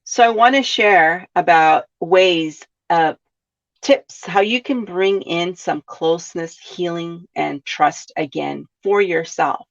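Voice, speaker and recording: Slow, gravelly-voiced woman host. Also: fairly low pitch. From California. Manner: poses rhetorical questions to listeners, often adds "right?". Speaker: podcast host. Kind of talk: reflective self-help talk. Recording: dull lo-fi sound.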